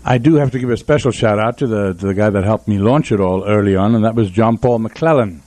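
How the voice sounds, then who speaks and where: Male radio host, slightly low voice, brisk delivery, informal and sentimental studio talk. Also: dark tone, dry recording. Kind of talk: casual, sentimental farewell chat.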